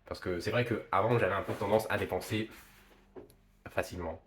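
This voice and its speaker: A man's voice: fairly low pitch, steady tone, slight background noise. A young man with a cold, chatting casually as a vlog co-host and often groping for words. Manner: halting, gropes for words. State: stuffy with a cold. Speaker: vlogger co-host. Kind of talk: casual couple chat.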